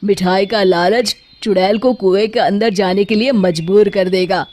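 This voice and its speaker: A woman's voice: mid-range voice, steady tone, a little slow, a little mellow, lightly acted. A woman playing a decisive young village man in a children's cartoon story, explaining things earnestly. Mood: earnest, plotting.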